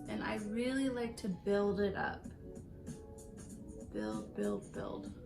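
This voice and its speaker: Raspy late-20s woman doing a makeup tutorial, gravelly voice, rambly and laughing, soft music behind. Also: medium pitch. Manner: rambling, laughs between stories. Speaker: beauty vlogger. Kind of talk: casual chatty makeup tutorial.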